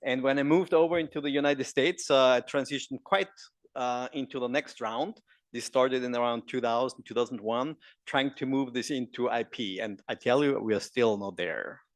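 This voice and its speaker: A man's voice: medium pitch, moderate pace, no echo. Austrian-accented man giving a conference talk. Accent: Austrian German. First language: German. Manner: jokey, engages the audience with questions. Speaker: conference speaker. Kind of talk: conference presentation.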